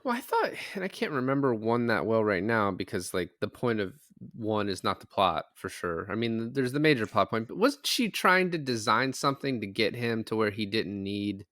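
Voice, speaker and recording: A man's voice: lowish voice, sing-song, normal speed, hardly any pauses, fairly bright tone, no room sound, studio quality. A man in a casual film discussion, opinionated and emphatic, swearing freely as he makes his points. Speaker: film podcast panelist.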